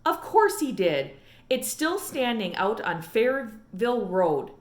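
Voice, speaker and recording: A woman's voice: on the high side, sing-song, slow pace. A woman voicing an elderly lady librarian telling a family story in a storybook, in a proper, emphatic storyteller's manner. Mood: firm, proud.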